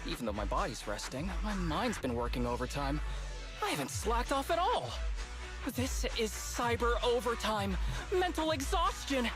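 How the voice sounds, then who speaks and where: A woman's voice: mid-range voice, very animated, moderate pace, over music, lightly acted. A woman voicing a lazy, wry young female agent in a video game, with a languid, mock-philosophical manner. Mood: weary musing.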